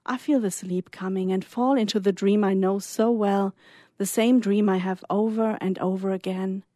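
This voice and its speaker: A woman's voice: medium pitch, steady tone, normal speed, mellow, no room sound. Woman reading a dreamy story, dry sound. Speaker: guest reader. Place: radio studio.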